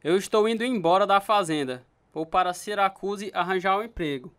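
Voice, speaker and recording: A man's voice: sits high, fairly quick, fairly bright tone, smooth. An actor playing a troubled man who tells of his fearful youth in an earnest, emotive radio drama style. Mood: defiant. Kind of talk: dramatized first-person story narration. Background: plucked guitar music.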